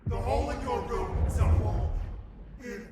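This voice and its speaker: Very high eerie otherworldly being. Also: male voice, moderate pace, background noise. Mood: menacing. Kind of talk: video game voice acting.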